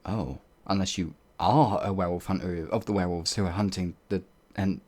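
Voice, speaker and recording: A man's voice: deep voice, fairly even intonation, brisk, darkish tone. A man playing a timid, apologetic werewolf farmhand in an audio roleplay, hesitant and rambling, stammering as he goes. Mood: nervous, apologetic. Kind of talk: ASMR audio roleplay monologue.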